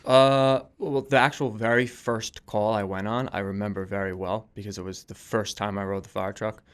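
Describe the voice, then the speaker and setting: A man in his twenties with a clean, smooth voice on the low side. He talks as a podcast guest, telling stories informally and feeling his way through phrases in a casual conversational style. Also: flat delivery, normal speed. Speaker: podcast guest, volunteer firefighter and police dispatcher. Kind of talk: casual conversational interview answers.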